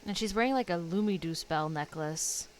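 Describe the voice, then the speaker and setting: A woman streamer reacting to a game story, talking casually in slangy, quick asides. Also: on the low side, a little mellow, slight background noise. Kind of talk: casual live reaction commentary. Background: game music.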